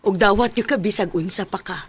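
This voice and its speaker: A woman playing a gentle, caring mother in a Bisaya radio drama, with a husky voice and Cebuano Filipino accent. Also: medium pitch, a little fast, slight background noise, natural voice. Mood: tender. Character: gentle, caring middle-aged or older mother.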